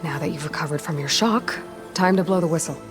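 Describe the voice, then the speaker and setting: A woman's voice: deep voice, a little fast, music in the background, lightly acted. A woman playing a blunt, determined young heroine in a video game, speaking in a direct, dry way. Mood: firm. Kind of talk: video game character acting.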